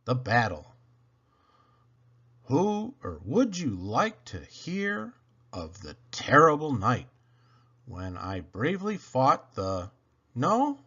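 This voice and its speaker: A man reading children's poems aloud in a theatrical, playful way, with dramatic emphasis and pauses. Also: medium pitch, wildly swooping pitch, a bit bright, slightly rough, lo-fi sound. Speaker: reader of children's poems for a read-aloud channel.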